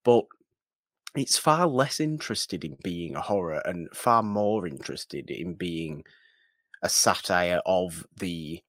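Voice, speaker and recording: A man's voice: low voice, animated, slow pace, fairly bright tone, no room sound, clean studio sound. A man co-hosting a podcast, casually discussing film in an emphatic way, repeating phrases and throwing out rhetorical questions. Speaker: podcast co-host.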